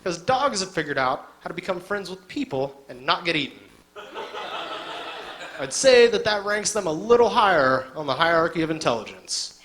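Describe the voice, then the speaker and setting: A man's voice: fairly high pitch, very animated, moderate pace, fairly bright tone, rough recording. A young man doing a live stand-up set on an indoor stage, talking casually and sardonically with lots of filler. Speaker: stand-up comedian.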